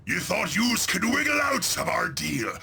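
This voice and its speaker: An actor playing a brutish, mocking male ork warboss in a video game cutscene, with a growling, gravelly voice and crude slang. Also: sits high, sing-song, unhurried, a little hiss or hum, full character voice. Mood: taunting. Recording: game score loud behind.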